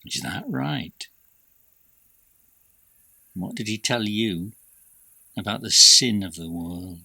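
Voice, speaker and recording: A man's voice: on the low side, animated, slow, creaky, pushed and bright, no echo, full character voice. A man playing a doubting disciple who puts terse, grave, probing questions in a dramatised ancient religious text. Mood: doubting, demanding.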